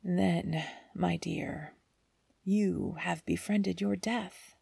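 A woman voicing a frightened, sorrowful old woman held prisoner, speaking in a slow, hissing whisper. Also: on the low side, vocal fry, mellow, slightly rough, no room sound, full character voice. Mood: grave warning.

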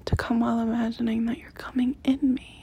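A woman performing an intimate audio roleplay in a soft, close whisper. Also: on the high side, level tone, brisk, a little hiss or hum.

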